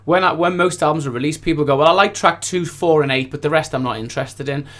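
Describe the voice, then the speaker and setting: A man's voice: a little high, fast, fairly bright tone. A man who is a recording artist, chatting casually and informally in a studio interview, often repeating phrases for emphasis.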